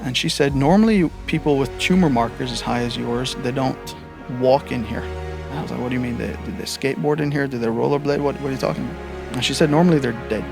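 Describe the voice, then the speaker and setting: A man's voice: medium pitch, quick pace, vocal fry, music in the background. A man in his thirties from Barbados with a part Barbadian, part American accent, telling stories as a podcast guest and joking at his own expense with lots of fillers. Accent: Barbadian mixed with American. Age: 30s. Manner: joking, self-deprecating, with frequent fillers and restarts. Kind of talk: casual storytelling interview.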